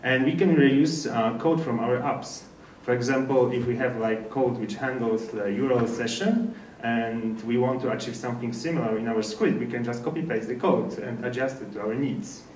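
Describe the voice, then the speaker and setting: A man from Poland with a Polish accent gives an informal technical presentation in slightly halting non-native English. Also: mid-range voice, a little mellow. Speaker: speaker at a developer meetup. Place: indoor meetup presentation room. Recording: echoey room, distant mic, faint noise.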